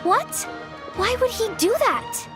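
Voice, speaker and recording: A woman playing an excitable, easily panicked young guild worker in a video game, with an expressive, dramatic delivery. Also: very high voice, slow pace, loud music behind the voice, heavily acted. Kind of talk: animated video game voice acting.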